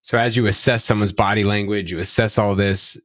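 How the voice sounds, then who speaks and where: A man co-hosting a podcast, asking measured, friendly questions. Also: fairly low pitch, fairly even intonation, no echo. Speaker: podcast co-host. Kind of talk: casual interview conversation.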